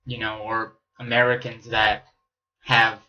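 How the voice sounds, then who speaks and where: A man's voice: fairly low pitch, monotone, a little slow, dull audio, a little hiss or hum. A young man born in Romania, hosting a podcast in loose, joking chat and swearing casually. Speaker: podcast host.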